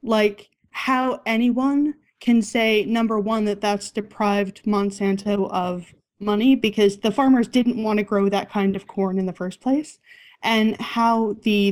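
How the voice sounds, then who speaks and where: Woman asking questions, mid-pitched voice. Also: fairly even intonation, a little slow, a little mellow. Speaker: discussion participant asking questions. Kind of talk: casual spoken discussion.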